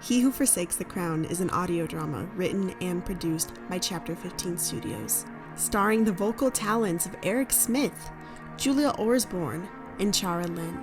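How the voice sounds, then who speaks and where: A woman's voice: lowish voice, normal speed. Woman announcer reading credits over music. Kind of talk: scripted credits narration.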